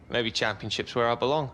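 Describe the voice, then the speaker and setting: A man's voice: mid-range voice, fairly bright tone, slight background noise, natural voice. A man with an English accent playing a chatty, cocky young footballer in cinematic game dialogue. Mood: downbeat. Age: young adult. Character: cocky, chatty young male footballer, jokey best mate. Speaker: actor in a football game's story mode.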